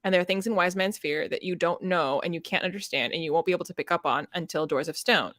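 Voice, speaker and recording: A woman's voice: on the low side, fast talker, a bit bright, dry recording, studio quality. A woman hosting a casual book discussion, opinionated and sweary, peppering her talk with "like" and acting out imagined reactions. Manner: frequent "like", sweary, acts out imagined reactions in quotes. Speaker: host of a book readalong discussion. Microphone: close microphone on a live video chat.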